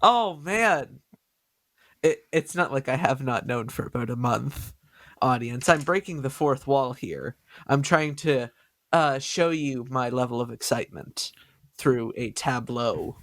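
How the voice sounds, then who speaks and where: A man hosting a podcast in a casual chat, with a nasal voice, excitable and stumbling over his words. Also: medium pitch, measured pace, no room sound. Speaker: podcast host.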